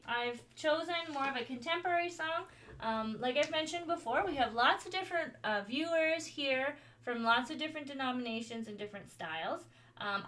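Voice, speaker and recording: Chatty woman teaching gospel piano. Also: a bit bright. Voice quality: higher voice. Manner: friendly, chatty, with frequent "um" and small laughs. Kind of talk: online gospel piano lesson. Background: piano playing.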